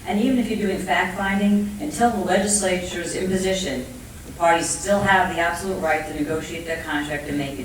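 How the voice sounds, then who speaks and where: An echo-heavy conference recording with faint noise carries a middle-aged or older speaker at some distance from the mic. The accent is Brooklyn and the voice sits at a medium-to-ambiguous pitch. The delivery is conversational and emphatic, like a seasoned negotiator explaining things plainly to a panel audience. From Brooklyn, New York, USA. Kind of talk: panel talk, speaking off the cuff. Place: indoor conference room with audience.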